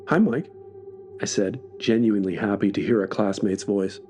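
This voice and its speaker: Male horror podcast narrator, low pitch, scripted first-person storytelling over music. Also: little pitch movement, a little fast, mellow.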